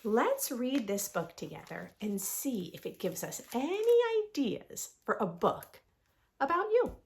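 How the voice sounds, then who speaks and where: A woman reading a picture book aloud for young children in an expressive, sing-song storytelling manner, adding her own asides. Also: on the high side, a little slow, vocal fry. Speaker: children's read-aloud host.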